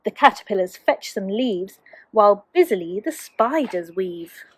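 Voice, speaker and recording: A woman's voice: mid-range voice, animated, a little slow, a little hiss or hum. A woman, a teacher, reading a children's storybook aloud expressively to young children.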